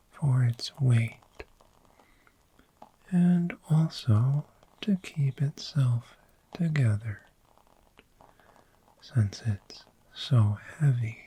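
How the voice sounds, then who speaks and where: A performer voicing a gentle, friendly dragon in human form for a sleep ASMR roleplay, in a soft breathy whisper with unhurried, soothing pauses. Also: medium pitch, exaggerated voice, rough recording.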